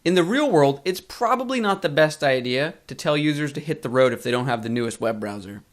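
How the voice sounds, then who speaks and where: A man narrating a scripted tutorial as a course instructor, measured and clearly enunciated. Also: on the high side, expressive. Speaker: web design course instructor.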